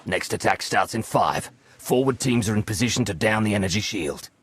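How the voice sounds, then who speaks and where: An actor playing a proud, boisterous game warrior who declaims grandly in a gravelly, husky voice. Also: male voice, on the low side, a little fast. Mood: urgent report. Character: boisterous warrior, proud teller of battle glory.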